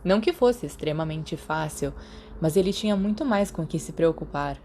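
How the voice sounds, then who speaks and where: A woman's voice: sits low, animated, normal speed, warm tone, slight background noise. A woman with a Brazilian accent reading a story as a scripted audiobook narrator. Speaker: podfic narrator.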